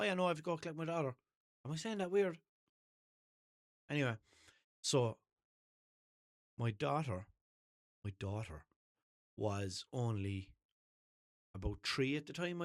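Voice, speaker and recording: A man's voice: a little high, dry recording, clean studio sound. Rambling Irish man with a Limerick accent. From Ireland. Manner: rambling, self-questioning, swears casually. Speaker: podcast host. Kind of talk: unscripted rambling monologue. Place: small room at home, seated in a desk chair.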